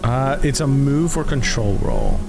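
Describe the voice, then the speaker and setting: A man narrating as game master of a tabletop horror game, measured and deliberate with pauses. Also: mid-range voice, huge pitch swings, vocal fry. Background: music.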